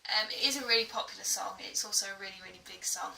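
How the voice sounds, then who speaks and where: A woman's voice: medium pitch, fairly even intonation, quick pace, creaky, pushed and bright. A British woman giving an informal singing tutorial, chatty and a little hesitant, with a self-deprecating way of talking. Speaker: singing teacher. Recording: echoey room, distant mic, music behind.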